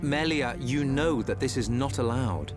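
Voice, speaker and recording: Composed, noble prince played by an actor. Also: male voice, mid-range voice, a little slow, over music, close to an everyday voice. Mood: gently counseling. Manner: formal, composed, reassuring. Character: calm, noble, supportive prince and elder brother. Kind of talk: scripted game cutscene acting.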